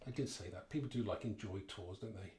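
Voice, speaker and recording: A man's voice: lowish voice, quick pace, darkish tone. A man giving a casual live craft demonstration, talking informally and thinking aloud as he works. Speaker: card making demonstrator. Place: indoor craft room. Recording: roomy echo, clear background noise, clicks.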